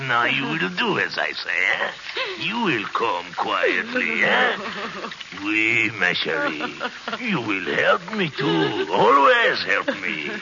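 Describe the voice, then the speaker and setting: A crazed, gravelly old French hermit. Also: male voice, medium pitch, wildly swooping pitch, slow, crowd noise, exaggerated voice. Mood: gloating. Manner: theatrical, wheedling, erratic swings into shrieks. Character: crazed old French hermit living in a sewer, gleefully macabre. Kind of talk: old-time radio horror acting. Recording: hissy, dull old radio recording.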